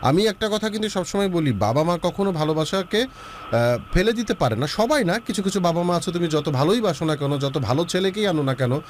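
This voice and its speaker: Lively man giving love advice. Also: sits high, fairly quick, slight background noise. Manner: lively, advising, mixes in English words. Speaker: relationship advice host. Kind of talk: advice talk with a caller.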